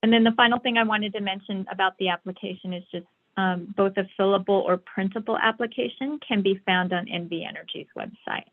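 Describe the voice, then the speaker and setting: A woman giving a slide presentation on a conference call over a thin, tinny phone line. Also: mid-range voice. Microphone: phone or online call.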